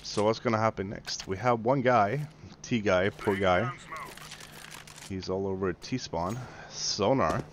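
Deep, slow gaming host. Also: male voice, background noise. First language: Swedish. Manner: mocking, taunting, casual swearing. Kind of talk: sarcastic gameplay commentary. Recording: game gunfire under voice.

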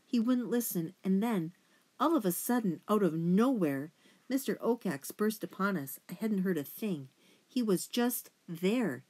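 A woman's voice: mid-range voice, lively intonation, unhurried, dark tone. A woman voicing an anxious, earnest young female mouse in a storybook, hesitant and pleading. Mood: anxious, halting.

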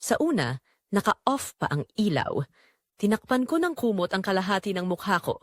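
A woman's voice: mid-range voice, very animated, moderate pace, dry recording, studio quality. A woman narrating a first-person romantic drama in an intimate, dramatic way. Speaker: audio story narrator.

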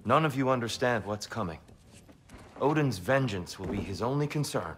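A man's voice: mid-range voice, expressive, moderate pace, a bit bright, slight background noise, lightly acted. A man playing a grave, anxious giant god in a dramatic fantasy video game. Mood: grave worry.

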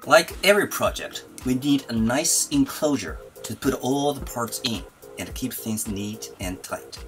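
A man's voice: mid-range voice, lively intonation, unhurried. Man narrating a build over music. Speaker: maker presenting an electronics build. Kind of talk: scripted tutorial narration. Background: background music.

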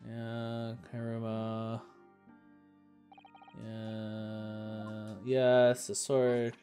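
Streamer guy goofing around over game music, voice getting kinda raspy. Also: on the low side, completely flat delivery, extremely slow, dark tone. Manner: joking, rambling commentary. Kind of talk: casual game stream chat.

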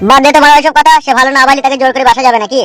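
A man's voice: extremely high pitch, steady tone, racing, fairly bright tone. A man voicing a sly, mocking young village schemer in a comedy cartoon, with a taunting manner. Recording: music behind the voice.

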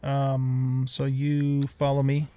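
A man's voice: mid-range voice, monotone, slow pace, mellow. An older man giving casual, informal video game commentary, thinking aloud as he plays. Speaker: gaming streamer, let's player.

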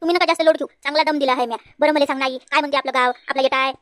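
A woman's voice: high-pitched, fairly even intonation, rapid-fire, fairly bright tone, no room sound. A woman voicing a bossy married daughter in a comedy cartoon, scolding in rural Varhadi Marathi from Vidarbha. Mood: chatty. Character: bossy married daughter, fussing over her parents, quick to scold.

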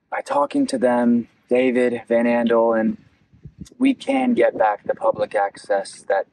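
Young man, lively pitch, halting and earnest. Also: slight background noise, low-quality recording. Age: 20s. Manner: earnest, halting, repeating phrases. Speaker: interviewer, local election candidate. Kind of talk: campaign interview. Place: outdoors at a beach picnic table.

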